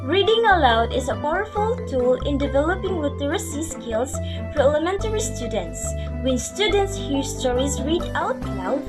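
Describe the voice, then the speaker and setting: A woman giving a lesson presentation as a teacher, partly reading and explaining simply to a class. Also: sits high. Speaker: student presenter acting as teacher. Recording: loud music behind the voice. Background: music.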